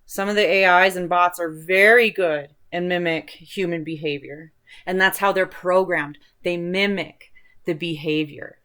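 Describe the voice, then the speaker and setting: A woman's voice: fairly low pitch, fairly even intonation, a little slow, a bit bright. A woman hosting an online video, talking in a casual, rambling, chatty way with informal enthusiasm for what interests her. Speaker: host of an online video channel.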